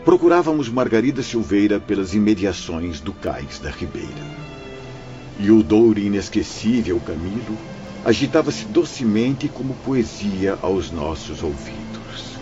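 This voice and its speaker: Actor as a deep-voiced, earnest spirit missionary. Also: male voice, animated, a little slow, warm tone. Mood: emotional, nostalgic. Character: kindly, earnest Portuguese male spirit missionary telling his rescue journey. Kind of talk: audio drama acting, storytelling. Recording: dull audio with background music.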